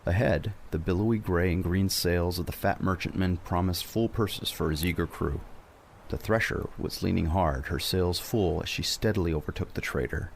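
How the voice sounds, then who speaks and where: A man's voice: low voice, moderate pace, vocal fry, slight background noise. A man narrating a dramatic scripted adventure story as a storyteller.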